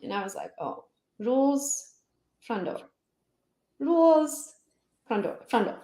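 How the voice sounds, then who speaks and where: A woman from Transylvania with a Hungarian accent, telling her life story as an interview guest in a lively, laughing way. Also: high-pitched, takes it slowly, roomy sound. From Transylvania, Romania. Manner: animated, laughs often, acts out quotes. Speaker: guest, real estate agent.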